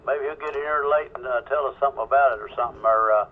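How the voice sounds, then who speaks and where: A man's voice: medium pitch, fast. A man hosting an amateur radio net in a casual, folksy way as control operator. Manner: folksy, informal. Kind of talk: casual radio check-in chat. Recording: thin phone-like radio audio, webcam mic.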